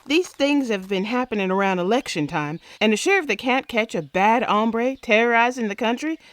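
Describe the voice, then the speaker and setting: A woman's voice: fairly high pitch, animated, normal speed, no echo, lightly acted. A woman playing an earnest, commanding frontier sheriff in a comic-book Western audio drama. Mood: conflicted, hurt. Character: resolute female frontier sheriff, fair-minded and lovestruck.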